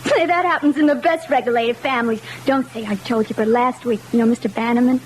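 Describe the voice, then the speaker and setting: Woman playing a high-voiced brash American kid girl. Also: quick pace, close to an everyday voice. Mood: gossipy. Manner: slangy, cheeky, gossipy. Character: brash, chatty young girl, superintendent's kid. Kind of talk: 1940s radio acting. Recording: noisy old radio drama recording.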